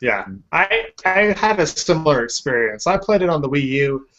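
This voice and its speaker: A man's voice: fairly high pitch, expressive, moderate pace, a bit bright. A man hosting a casual podcast, chatty and upbeat, with fillers. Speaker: podcast host. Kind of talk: casual chat. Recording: lo-fi podcast audio.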